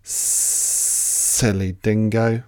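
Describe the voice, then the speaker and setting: An old storybook lizard played by a narrator, scornful and unhurried. He stretches his words out into a hiss at a middling pitch. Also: level tone, a little mellow, rough recording. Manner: slow, drawn-out hissing. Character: old, scornful, hissing male blue-tongue lizard.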